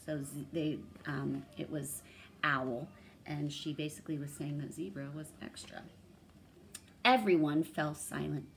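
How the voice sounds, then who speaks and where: A woman's voice: deep voice, steady tone, a little slow, gravelly, a little hiss or hum. A woman in her thirties or forties reading a storybook aloud and explaining words. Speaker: read-aloud host. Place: small room indoors.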